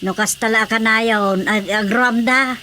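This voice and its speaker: Concerned wife, slightly high voice. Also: female voice, fairly even intonation, unhurried, sharp tone, slight background noise. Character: concerned, practical fisherman's wife. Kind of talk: radio drama acting.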